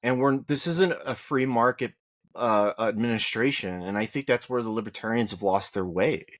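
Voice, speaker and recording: A man's voice: normal speed, no echo, clean studio sound. A man co-hosting a podcast, talking casually about politics with a slightly whiny voice, firing off rhetorical questions as he argues. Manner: animated, rising to high rhetorical questions when arguing. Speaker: podcast co-host.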